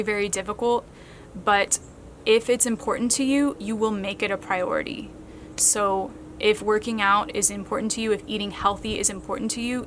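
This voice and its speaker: A woman's voice: mid-range voice, fairly bright tone, a little hiss or hum. Chatty thirty-year-old woman vlogger. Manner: chatty, reads out questions then answers at length. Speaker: vlogger answering viewer questions. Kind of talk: casual Q&A talk to camera.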